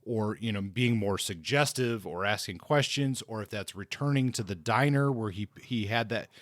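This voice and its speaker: A man's voice: lowish voice, normal speed, fairly bright tone, dry recording. A man co-hosting a book podcast in casual discussion, thinking aloud and often rewording as he goes. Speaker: podcast co-host.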